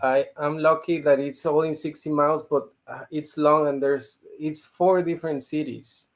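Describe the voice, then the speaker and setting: Frank man from Mexico, slightly high voice. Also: steady tone, normal speed. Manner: frank, talks things through out loud. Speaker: guest reseller. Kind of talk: casual livestream chat.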